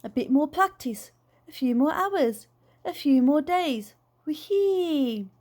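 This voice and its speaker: A woman reading aloud and voicing a giddy, reckless middle-aged father obsessed with flying, who shouts and giggles in a much higher voice than her own. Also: expressive, slow.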